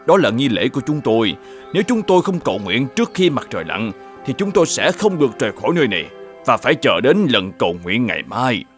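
A man voicing a mysterious old Taoist sage in an animated fairy tale, courteous and formal in manner. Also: mid-range voice, fast, close to an everyday voice. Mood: solemn. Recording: music behind the voice.

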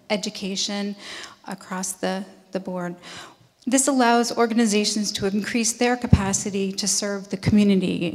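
A woman in her forties reading a prepared statement into a handheld mic at a town meeting. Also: medium pitch. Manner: steady, reads from notes. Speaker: nonprofit representative. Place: large meeting hall. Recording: long hall reverb.

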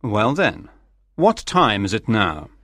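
A man playing a calm, exacting English gentleman of about forty in a dramatized audiobook, speaking formally and precisely. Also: fairly low pitch, slow, no room sound, studio quality, exaggerated voice. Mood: cool, probing.

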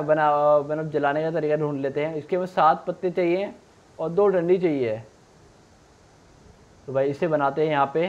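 A man's voice: a little high, dull audio. A young man giving casual let's play commentary, thinking aloud and repeating himself as he plays. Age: young adult. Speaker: gaming streamer.

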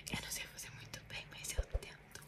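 A woman's voice: fairly quick, far from the mic. A young adult woman with a Brazilian accent whispers breathily and intimately in an ASMR style, close to a desktop microphone. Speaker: ASMR video creator. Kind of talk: whispered ASMR, addressing viewers. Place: small indoor room at a desk. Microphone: desktop microphone at close range.

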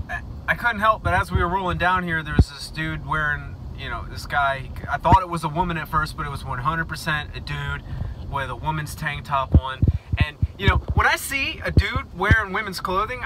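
A man's voice: fairly high pitch, a little fast. A young man chatting casually to the camera while driving, rambling and joking with goofy outbursts, filmed at arm's length. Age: young adult. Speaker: fishing vlogger. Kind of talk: casual vlog talk to camera. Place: inside a pickup truck while driving. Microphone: phone or action camera at arm's length. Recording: road noise from a moving car.

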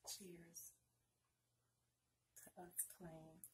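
A middle-aged woman doing a casual, flirty ASMR roleplay as a card dealer, chatting in an unhurried, conversational way close to the microphone. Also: on the low side, flat delivery, very noisy. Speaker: ASMR performer playing a blackjack dealer.

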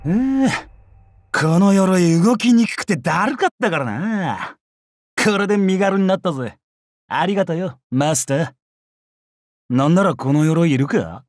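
An actor playing a brash, bloodthirsty young male samurai in a mobile game, with rough, swaggering speech. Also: sits high, animated, unhurried, no room sound, studio quality. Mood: casual, cocky. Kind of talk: Japanese mobile game character voice lines.

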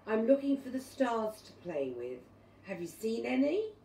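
A woman's voice: fairly high pitch, big pitch swings, normal speed, dark tone. A woman voicing an earnest, wistful small boy in a children's storybook, polite and pleading. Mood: polite asking. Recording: roomy, distant mic, clearly noisy.

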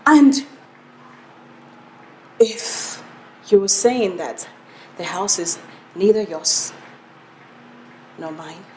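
A woman's voice: medium pitch, animated, very slow, pauses often, slight background noise. A woman with a Nigerian accent playing a distressed but defiant wife in a melodramatic film, emphatic and repeating herself. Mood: indignant, hurt. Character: defiant, distressed wife standing up to her husband.

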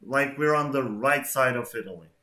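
A young man with an Albanian accent talks with strangers in a playful, animated way, and he sometimes swears when he is flustered. His voice sits at a middle pitch. He uses a headset mic in a small room at home, and the room gives the sound a noticeable echo. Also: normal speed. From Kosovo. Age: young adult. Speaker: YouTuber. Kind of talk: casual video chat.

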